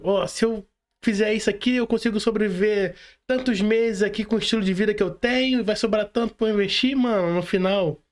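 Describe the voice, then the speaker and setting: A Brazilian man with a notably high-pitched voice hosts a casual podcast. He talks informally, full of slang, and often turns to address the listeners directly. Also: a little mellow. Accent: Brazilian Portuguese. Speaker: podcast host.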